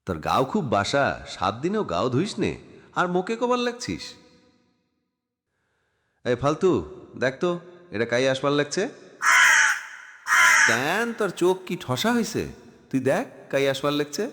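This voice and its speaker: A man's voice: on the high side, sing-song, normal speed, long pauses, fairly bright tone. A man voicing a grumbling, bickering village man in a cartoon, with a northern Bengali Rangpuri accent. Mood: irritated bickering. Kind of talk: cartoon dialogue in village dialect. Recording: reverberant, noisy recording.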